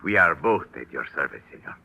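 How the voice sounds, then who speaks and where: A suave, deep-voiced aristocrat. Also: male voice, animated, a little fast, sharp tone, full character voice. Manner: courtly, smooth, formal. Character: smooth suave gracious male aristocrat. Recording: old radio broadcast.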